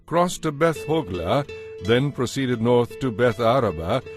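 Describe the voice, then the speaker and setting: A man reading scripted scripture narration as narrator, in a measured, formal manner. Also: medium pitch, lively intonation. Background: background music.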